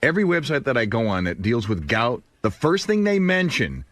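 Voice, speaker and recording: A man's voice: mid-range voice, very animated, a little fast, a bit bright. An American man on a talk radio show, insistent and scolding as he makes his point.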